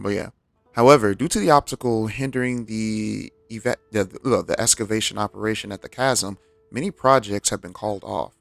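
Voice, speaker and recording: A man streaming a game, reading its text aloud and commenting casually with halting, rambling asides. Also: on the low side, vocal fry, no room sound.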